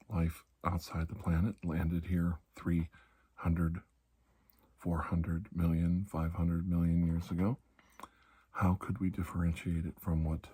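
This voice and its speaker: A low, mellow male doctor, played by a man in an ASMR roleplay, calm and reassuring. He speaks slowly and deliberately in a soft, breathy voice that often drops toward a whisper, soothing a patient. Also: full character voice. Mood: calm, pondering. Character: calm, reassuring male doctor treating alien skin disease.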